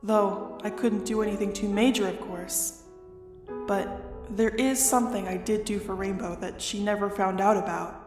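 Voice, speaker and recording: A woman's voice: mid-range voice, fairly even intonation, moderate pace, close to an everyday voice. A woman voicing a serene, motherly goddess in a fantasy audio drama, speaking formally and solemnly. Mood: calm explaining. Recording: echoey reverb with music behind.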